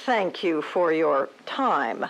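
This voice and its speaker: A woman playing a cold, officious committee inquisitor in an audio drama, questioning in a formal, deadpan manner. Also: low voice, slow pace, full character voice, rough recording. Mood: cool, formal.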